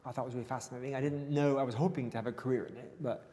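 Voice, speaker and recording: A man, an interview guest, talking about his path into physics in a relaxed, matter-of-fact way. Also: medium pitch, very fast, long reverb tail. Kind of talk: conversational interview.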